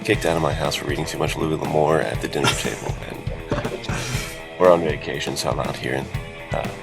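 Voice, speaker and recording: A man with an extremely low voice full of gravel and fry. He talks casually as a podcast co-host. Music plays behind him. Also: steady tone, normal speed. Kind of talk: casual chat. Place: outdoors while on vacation. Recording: music behind, rough sound quality.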